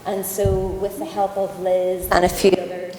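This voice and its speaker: An Irish woman, a panelist at a conference, talking quickly and upbeat with many ums. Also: mid-range voice, level tone, a crowd in the background. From Ireland. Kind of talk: panel discussion. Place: conference hall. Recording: echoey hall, faint ambience.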